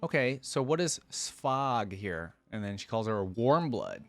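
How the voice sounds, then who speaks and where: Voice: medium pitch, animated, a little slow, a bit bright, soft background music. A reaction video host giving casual, conversational commentary on game voice lines.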